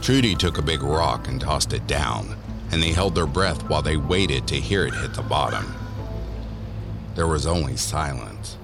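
Very deep, gravelly male horror narrator. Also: lively intonation, moderate pace. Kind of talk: scripted horror story narration. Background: prominent music.

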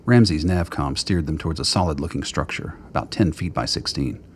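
A man's voice: a bass voice, fairly quick, a little hiss or hum. A man narrating a radio play in a scripted, literary style.

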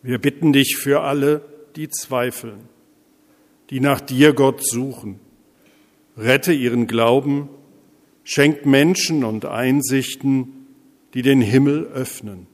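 A man leading intercessory prayer as a pastor, speaking solemnly in measured, deliberate phrases with pauses. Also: medium pitch, fairly even intonation. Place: large reverberant church interior. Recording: echoey church hall, long reverb.

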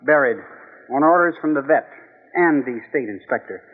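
An actor playing a pushy young American rancher in a 1950s radio drama, insistent and coaxing in a folksy way. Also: male voice, medium pitch, animated, moderate pace, phone-quality sound, natural voice. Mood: guarded. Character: pushy young male rancher, smooth-talking fiancé. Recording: boxy reverberant old radio recording.

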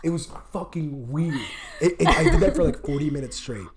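Fast-talking young man telling rambling stories. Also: on the high side, over a noisy crowd. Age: young adult. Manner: rambling, fast, many fillers and swearing. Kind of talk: casual chat, storytelling.

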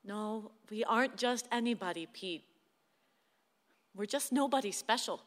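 An actress playing a weary, patient wife in a radio play, wry, coaxing and firm. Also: female voice, fairly high pitch, a little slow, lightly acted. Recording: long hall-like reverb.

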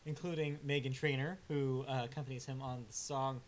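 A man's voice: mid-range voice, steady tone, a little fast. A man chats casually through the week's album reviews, his talk full of filler sounds and restarts. His verdicts land blunt and dry. A faint hiss sits under the recording. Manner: laid-back, hesitant, many filler sounds. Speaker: music review co-host.

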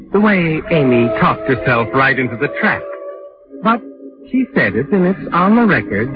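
An actor playing a sardonic, all-knowing narrator of a 1940s radio suspense show, speaking in a knowing, dramatic way. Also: male voice, medium pitch, close to an everyday voice. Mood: ominous, knowing. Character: sardonic omniscient male narrator needling the schemer. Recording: muffled old radio recording. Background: music.

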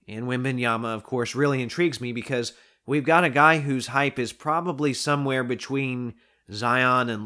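Guy hosting a podcast, chatty and excited, kinda sick and losing his voice. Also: mid-range voice. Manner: enthusiastic, chatty. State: coming down with a cold. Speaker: podcast host. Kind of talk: casual solo podcast talk.

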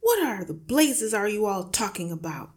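Woman acting a loud, pompous, blustering husband. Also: mid-range voice, big pitch swings, moderate pace, voice pushed up, close to an everyday voice. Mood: furious, bewildered. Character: loud, boastful, bullying middle-aged husband and father.

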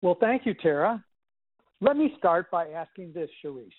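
A man's voice: sits high, sing-song, normal speed, a little hiss or hum. A man asking questions conversationally as an interviewer on a podcast. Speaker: interviewer, former school superintendent. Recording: dry, tinny phone line.

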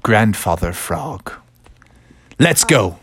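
Guy doing a calm dad frog, slow and serious, dry close mic. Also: fairly low pitch, husky, no room sound, full character voice. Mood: solemn. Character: calm, steady father frog.

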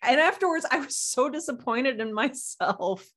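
A woman's voice: high voice, expressive, moderate pace, fairly bright tone. Woman telling personal stories, casual and emphatic. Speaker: podcast guest, writer and podcaster. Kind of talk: casual chat, personal anecdotes. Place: remote video call.

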